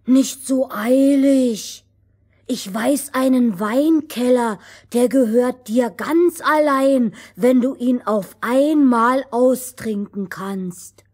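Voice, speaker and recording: A woman's voice: on the high side, slow, a little mellow. A woman voicing a poor, simple, good-natured young lad in a fairy tale, naive and wistful. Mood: coaxing.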